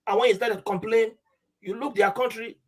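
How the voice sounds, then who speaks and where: A man's voice: sits high, a little fast. A man with a Nigerian accent, casually telling a story as a podcast guest, slipping into Pidgin and acting out voices. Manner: animated, mixes Nigerian Pidgin, acts out quoted voices.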